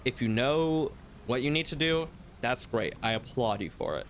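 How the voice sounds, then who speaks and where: A man's voice: medium pitch, expressive, moderate pace, a little hiss or hum. A young man hosting a solo talk show, rambling loosely and reflectively, his voice slightly worn from hours of talking. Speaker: podcast host.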